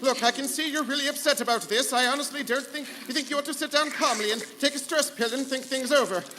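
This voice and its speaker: High-voiced rogue computer, echoing hall. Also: male voice, quick pace. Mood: pleading. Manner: theatrical, over-polite reasoning. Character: rogue ship computer, bossy, then pleading and failing. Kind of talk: live sci-fi radio comedy acting. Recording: noisy echoing hall, live stage show.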